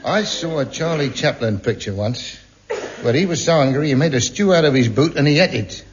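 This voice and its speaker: Man as easygoing British flatmate, casual, lively, faint hiss. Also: mid-range voice, brisk, natural voice. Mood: musing. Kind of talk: 1950s radio sitcom acting. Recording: muffled hissy 1950s radio broadcast.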